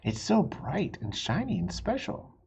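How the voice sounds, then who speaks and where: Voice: mid-range voice, big pitch swings, measured pace, creaky, a little mellow, breathy edge, voice pushed up, full character voice. A reader voicing a sweet, curious young female elephant in a picture book read aloud. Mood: earnest.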